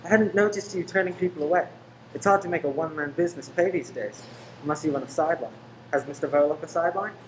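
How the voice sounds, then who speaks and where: Woman doing jaunty nosy young guy in a radio play, lowish voice, bit of room noise. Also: fast, lightly acted. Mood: probing. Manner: jaunty, inquisitive. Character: charming, probing young man about town named Ted. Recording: noisy room recording.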